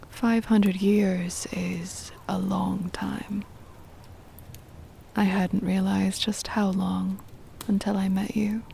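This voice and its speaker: A woman playing a centuries-old vampire mistress, tender and possessive, in a hushed, breathy ASMR whisper with deliberate, formal wording. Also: mid-range voice, a little hiss or hum. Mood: doting, possessive. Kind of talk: ASMR roleplay, intimate scripted monologue.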